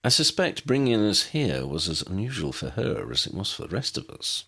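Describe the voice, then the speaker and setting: An unflappable older gentleman of a storybook adventure, as a male narrator puts him on. He speaks formally and dryly, with courteous self-assurance and a widely swinging melody. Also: deep voice, big pitch swings, normal speed, vocal fry, slightly rough, full character voice. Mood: courteous, assured.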